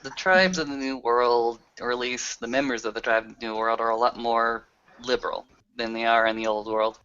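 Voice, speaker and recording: Bright, clear-voiced man in roleplay. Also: mid-range voice, fairly even intonation, normal speed. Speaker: player in a tabletop roleplaying game. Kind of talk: in-character roleplay conversation. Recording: muffled, lo-fi audio.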